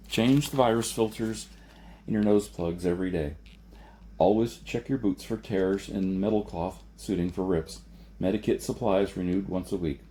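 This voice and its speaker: A man voicing a gruff, terse military instructor in a science fiction audiobook, brisk and grudging. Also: lowish voice, dark tone, a little hiss or hum, lightly acted.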